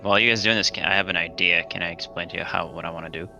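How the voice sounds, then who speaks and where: Very bright, sharp low-voiced man. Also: steady tone, racing, vocal fry, soft background music. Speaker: tabletop game player. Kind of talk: casual chat.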